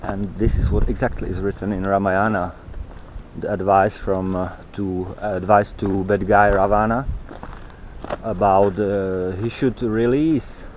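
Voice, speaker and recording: A man giving an unscripted, rambling monologue while walking outdoors, halting and fragmented as he searches for words. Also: fairly low pitch, darkish tone, slight background noise. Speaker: vlogger. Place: outdoors on a walk in nature.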